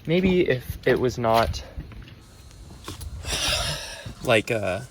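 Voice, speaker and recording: A man's voice: mid-range voice, very animated, extremely slow. Stuffy-nosed young man vlogging from car. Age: young adult. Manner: rambling, self-correcting. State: sick, nasal. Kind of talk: casual vlog while driving. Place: car on the road. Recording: car noise behind voice.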